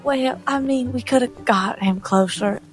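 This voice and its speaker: A woman's voice: on the high side, a little slow. A woman playing a tabletop role-playing game, speaking casually as a player. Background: music.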